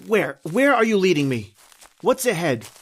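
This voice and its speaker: An actor playing a distraught, disbelieving family man lost in the distant past, in a melodramatic, halting old-time radio horror style. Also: high voice, sing-song. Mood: agitated, demanding. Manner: melodramatic, halting, repetitive phrasing. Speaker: actor and first-person narrator in a horror radio play.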